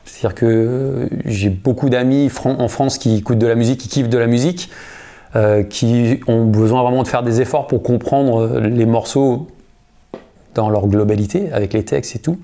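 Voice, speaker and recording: A man in his thirties, a guitarist and singer, telling a story in a casual interview. He uses a lot of slang and often starts over or pauses with fillers. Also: mid-range voice. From United States. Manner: relaxed, slangy, many fillers and restarts.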